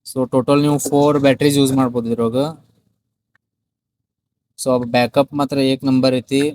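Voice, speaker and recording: A man's voice: medium pitch, level tone, moderate pace, a little mellow, a little hiss or hum. A man with a North Karnataka Kannada accent presenting a product in a casual unboxing style, with repetitive sales patter and English words mixed in. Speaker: shop video host and product presenter.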